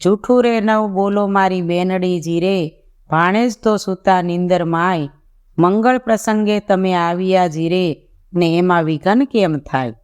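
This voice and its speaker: A narrator plays a saintly royal man from a devotional storybook, a protective brother. He is caring and gently commanding, with a clear, resonant voice at medium pitch, and slips into verse. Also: fairly even intonation, a little slow, close to an everyday voice. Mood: reassuring.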